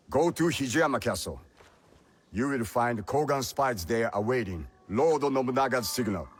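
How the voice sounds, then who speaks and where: An actor playing a stern, courtly middle-aged samurai retainer with a husky voice and formal, authoritative delivery in a game drama. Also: male voice, medium pitch. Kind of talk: dramatic video game acting.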